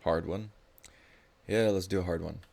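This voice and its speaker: A man's voice: sits low, steady tone, normal speed, vocal fry, a little mellow. A man tutoring math live in a casual, informal way, thinking aloud as he explains.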